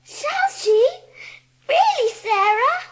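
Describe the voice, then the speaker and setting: Spoilt, whiny small girl, extremely high voice, slow childish delivery, for storybook drama. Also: very animated, exaggerated voice. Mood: plaintive, curious. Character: spoilt wailing four-year-old motherless girl. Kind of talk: dramatised audiobook acting.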